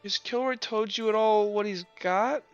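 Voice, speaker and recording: A man voicing a candid, tearful teenage noblewoman in a tabletop game, confiding in a halting, hesitant way. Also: sits high, lo-fi sound, faint music behind, voice pushed up, natural voice. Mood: somber, halting.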